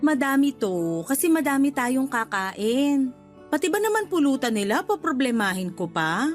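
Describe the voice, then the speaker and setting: A married woman in a radio drama, keeping house and laying down the law to her brother. Her voice is high, emphatic and preachy, over a soft music bed. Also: sing-song, normal speed. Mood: annoyed. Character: exasperated married woman, homeowner scolding her older brother. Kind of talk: Tagalog radio drama acting.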